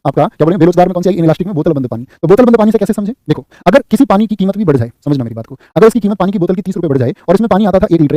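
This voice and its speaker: Male coach, high voice, very fast repeating quiz delivery. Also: warm tone, no room sound, clean studio sound. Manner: rapid, repeats answers and asks "what will you say?". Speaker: exam coaching teacher. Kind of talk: live online class, quizzing students.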